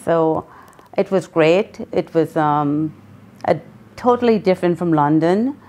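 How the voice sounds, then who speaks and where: Lady in her 60s from guyana, lowish voice, slow and earnest with lots of ums. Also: warm tone. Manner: earnest, with many pauses and ums. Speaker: guest, memoir author. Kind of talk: interview answers.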